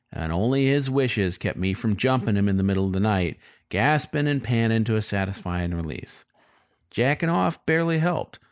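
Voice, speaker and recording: A man's voice: on the low side, creaky. A man voicing a sly, frustrated male fox who narrates his story in a wry, confiding, colloquial way, as in an audiobook. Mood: resigned, frustrated.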